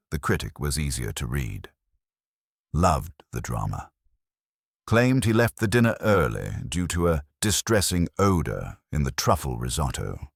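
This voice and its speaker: An extremely deep-voiced man narrates detective fiction with a wry, hard-boiled touch. His pitch moves in a lively way from phrase to phrase. The sound is very dry, as an audiobook reading. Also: no echo. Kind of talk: scripted first-person detective story narration.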